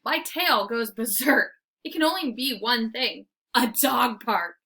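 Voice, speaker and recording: Woman acting cocky little boy dog, storybook hero, eager, exclamatory, high bright voice. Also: animated, a little slow, full character voice. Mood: excited, suspicious. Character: excitable small male dog, cocky squirrel-hating playful hero. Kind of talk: storybook read-aloud, first-person narration.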